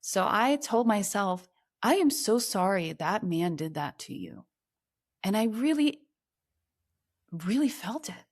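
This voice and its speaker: A woman from Ukraine, in her thirties, telling her personal story as a podcast guest in a reflective, earnest way that slows on emotional points. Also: mid-range voice, animated.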